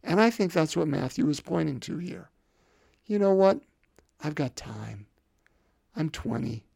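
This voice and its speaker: A man's voice: on the high side, big pitch swings, a little slow, creaky, a little mellow, slightly rough, no echo, clean studio sound. A man in his fifties preaching a sermon in a conversational way, often repeating words and putting rhetorical questions to the congregation. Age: 50s. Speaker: pastor.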